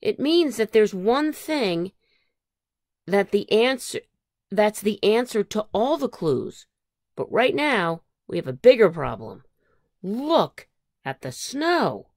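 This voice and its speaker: A sensible young groundhog girl who takes charge, voiced by a woman reading aloud. She sounds earnest and instructive, pitched up from plain narration, with lively movement in a storybook style. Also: takes it slowly, no echo, clean studio sound. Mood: serious.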